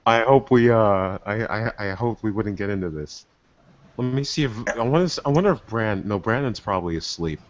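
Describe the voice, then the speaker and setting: A man's voice: lowish voice, expressive, brisk. Giggly young man hosting a podcast. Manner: giggly, hesitant, many fillers. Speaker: podcast host. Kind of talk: casual live-stream chat. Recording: dull lo-fi stream audio.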